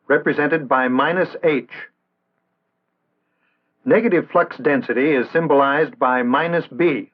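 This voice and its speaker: An American man reading scripted instructional narration in a measured, formal, didactic way, in the style of an early 1960s training film. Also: mid-range voice. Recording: tinny band-limited vintage 1960s film soundtrack.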